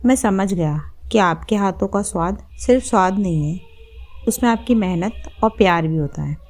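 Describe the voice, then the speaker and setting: Adult son played by a female narrator, plain respectful delivery, mid-pitched, family story dialogue. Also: normal speed, a little mellow, close to an everyday voice. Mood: sheepish. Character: adult son who takes his mother for granted.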